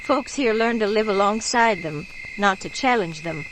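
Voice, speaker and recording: A somber bayou local played by a woman speaks in a grave, folksy, cautionary way, as in a horror radio play. Her pitch is middling, and crickets and frogs sound clearly around her in the night. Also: expressive, moderate pace, fairly bright tone, close to an everyday voice, rough recording. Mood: grave warning. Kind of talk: horror radio drama acting. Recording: clear background noise of night insects.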